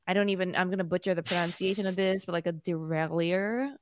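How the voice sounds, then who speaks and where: A woman's voice: on the low side, quick pace, a little hiss or hum. A young woman co-hosting a podcast, chatting casually about tech news in a bubbly way, speaking into a mic on a video call from home. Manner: chatty, bubbly, many fillers. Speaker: podcast co-host. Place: home room, indoors. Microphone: studio mic on a video call.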